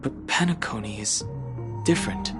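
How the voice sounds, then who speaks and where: An actor voicing a serious, reserved young space traveler in a video game, speaking in a level-headed, matter-of-fact way. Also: male voice, lowish voice, lively intonation, normal speed, over loud music, lightly acted. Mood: serious, reasoning.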